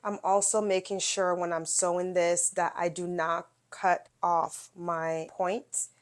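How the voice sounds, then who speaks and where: A middle-aged woman, a touch nasal and sniffly from a cold, walks viewers through a quilting project step by step. Her voice sits a little low for a woman. She talks in a relaxed, chatty way, straight to the camera. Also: slow pace. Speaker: quilting video host. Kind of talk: casual step-by-step sewing tutorial.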